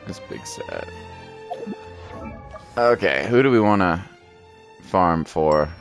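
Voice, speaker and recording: Man, very deep voice, casual game commentary, playful, repetitive phrasing, soft music underneath. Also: expressive, slow pace. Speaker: game streamer.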